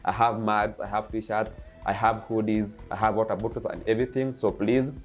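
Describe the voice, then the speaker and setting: A young Kenyan man speaks in a low voice with a Kenyan accent. He talks eagerly and loosely, piling up repeated lists and filling his pauses with "uh". Faint music plays quietly beneath him. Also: flat delivery. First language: Kikuyu. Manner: eager, repetitive lists, frequent uh fillers. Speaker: DJ and channel host. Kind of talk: casual vlog monologue.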